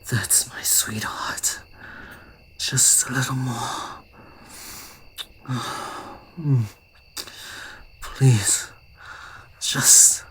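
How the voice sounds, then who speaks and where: Man acting as male vampire, unhurried, mid-pitched, old-fashioned courteous phrasing, smitten, intimate whispery ASMR roleplay. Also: bright, forceful tone, rough recording. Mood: hungry, breathless, tender. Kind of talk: ASMR roleplay audio drama addressed to the listener.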